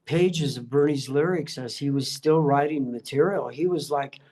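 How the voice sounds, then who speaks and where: An older man speaks casually in an interview, looking back on earlier days. His voice sits a little higher than average for a man, and his delivery is earnest and stop-start, with words repeated as he gathers his thoughts. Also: normal speed, dark tone. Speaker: musician being interviewed. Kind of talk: casual reminiscing in a group interview.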